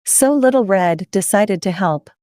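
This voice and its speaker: A woman's voice: mid-range voice, expressive, a little slow, dark tone. Playful woman telling children a story. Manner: playful, chatty, asks listeners questions. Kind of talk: children's storytelling, addressing young viewers.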